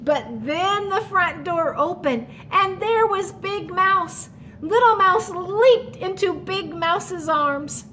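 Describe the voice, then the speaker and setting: High-voiced woman reading a children's story. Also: slow pace, slight background noise. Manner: animated, explains the story in asides. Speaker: story reader. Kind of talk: expressive children's read-aloud.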